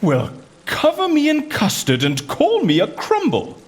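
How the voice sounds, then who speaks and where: An actor playing a flustered, wry, talkative British prisoner in an audio drama, theatrical and full of quaint exclamations. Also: male voice, very high voice, wildly swooping pitch, unhurried, exaggerated voice. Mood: astonished.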